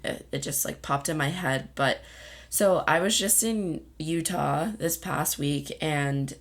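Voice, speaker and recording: Woman reading spiritual cards, low gravelly voice, unhurried, gentle and rambling, voice cutting in and out. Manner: meandering, searching for words, gentle. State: voice going in and out. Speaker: intuitive card reader and channel host. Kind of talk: casual spiritual card reading.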